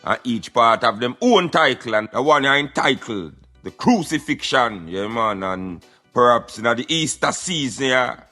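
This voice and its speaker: A man's voice: medium pitch, animated, measured pace, sharp tone. A man with a Jamaican accent hosting a radio show, chatting casually to listeners in patois with frequent affirming interjections. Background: music.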